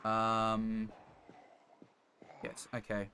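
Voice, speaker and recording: A man with a fairly deep voice commentates casually on a survival game he is playing. He talks through his decisions as if to himself. The live stream audio has clear background noise. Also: monotone, very slow, sharp tone.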